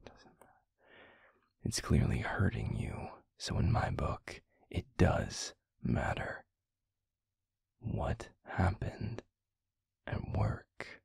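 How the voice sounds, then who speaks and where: A tender, protective male werewolf boyfriend, acted by a man, speaks low and very husky, close to a whisper. He is stubbornly caring, halting and intimate, as in a romantic roleplay audio, with a heavy gravelly rasp to his words. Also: animated, no room sound, full character voice. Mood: insistent, pleading. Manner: hushed, halting, intimate pleading.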